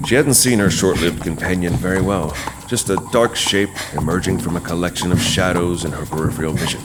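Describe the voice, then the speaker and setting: Deep-voiced man narrating a horror story. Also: heavy background noise. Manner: dramatic, measured storytelling with pauses. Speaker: host and narrator.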